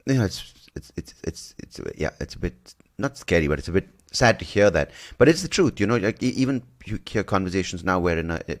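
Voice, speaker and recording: A male podcast host from India, talking in a halting way with frequent restarts, his voice jumping higher when he stresses a point. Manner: halting, with many restarts and fillers, pitch jumping up when emphatic. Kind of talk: casual podcast conversation.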